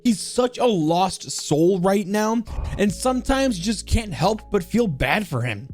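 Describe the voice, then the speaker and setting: Male countdown host, high-pitched and lively, enthusiastic game commentary over faint music. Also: takes it slowly.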